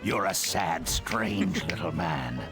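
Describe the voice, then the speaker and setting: An actor playing a demonic talking skull in a video game, a petulant, grandiose would-be evil overlord with a theatrical delivery. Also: male voice, low-pitched, animated, takes it slowly, music in the background. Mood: disgusted.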